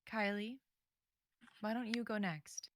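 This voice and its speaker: A woman's voice: medium pitch, steady tone, takes it slowly, very noisy. A woman actor reading the part of a group leader in a script, kind but firm.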